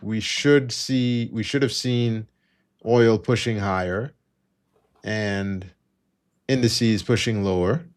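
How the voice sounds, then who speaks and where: A man's voice: on the low side, steady tone, slow, long pauses, clean tone. A man co-hosting a live trading stream with casual market commentary, thinking aloud in a hesitant way.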